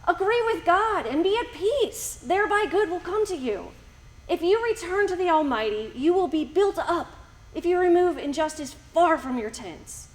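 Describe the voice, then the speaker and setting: A woman plays an admonishing friend in an amateur scripture drama. Her voice is extremely high, and she exhorts earnestly, urging repentance. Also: lively intonation, normal speed. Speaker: church class amateur actor. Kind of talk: amateur dramatized Bible reading.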